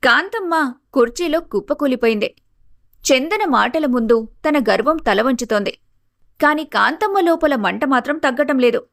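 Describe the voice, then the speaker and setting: High-pitched woman story narrator. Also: expressive, normal speed, no echo, clean studio sound.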